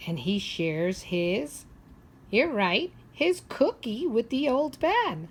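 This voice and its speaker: A woman teacher reading a picture book aloud to young children, expressive and instructive, often pausing to ask them questions. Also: a little high, breathy edge. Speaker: preschool or primary teacher.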